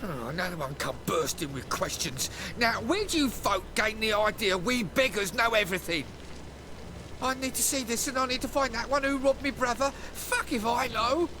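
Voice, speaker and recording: An actor playing a cynical, plaintive beggar in a medieval fantasy game. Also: male voice, very high voice, lively intonation, normal speed, over music. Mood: surly, dismissive. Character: wheedling, cynical crippled beggar man in a medieval city. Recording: noisy game street ambience.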